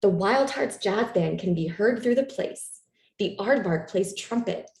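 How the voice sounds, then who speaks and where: Animated woman reading a children's storybook. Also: medium pitch, a little slow. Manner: animated storytelling for young listeners. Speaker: storytime reader. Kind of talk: expressive read-aloud of a children's picture book. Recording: roomy, echoey room sound.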